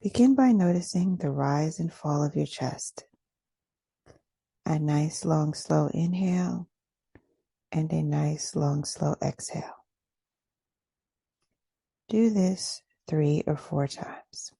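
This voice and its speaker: Woman doing a guided meditation, really mellow n dark voice, bit of creak, slow and gentle, breathy. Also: low-pitched, no echo. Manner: slow, gentle, deliberate, with long pauses. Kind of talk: guided meditation, soft and soothing.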